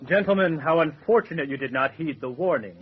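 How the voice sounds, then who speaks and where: A man's voice: a little high, brisk, slightly rough. An actor playing a suave male villain aboard ship, formal and coolly courteous, in old-time radio style. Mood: cool menace. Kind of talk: old-time radio adventure revival acting. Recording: dull radio sound, faint background noise.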